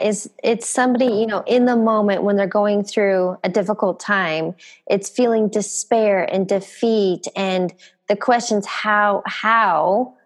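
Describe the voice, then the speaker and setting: A woman hosting a motivational podcast, reading an upbeat intro and asking her guest questions. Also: medium pitch. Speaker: podcast host. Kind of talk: scripted intro, then interview questions.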